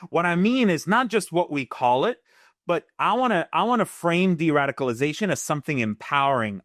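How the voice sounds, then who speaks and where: A man's voice: medium pitch, a bit bright, no room sound, studio quality. A man from Gaza whose first language is Arabic, speaking earnestly as a guest analyst in an interview, often repeating words as he starts a sentence.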